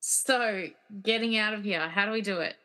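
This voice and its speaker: A woman's voice: mid-range voice, normal speed, sharp tone, lightly acted, low-quality recording. A woman playing a casual, impatient character trapped in a movie, in a conversational comedy radio play.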